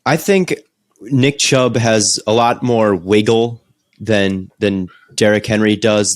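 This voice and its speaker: Young man, podcast co-host, slightly sick, low voice, enthusiastic analysis, casual. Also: no echo, clean studio sound. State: stuffy, under the weather. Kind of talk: casual sports podcast chat.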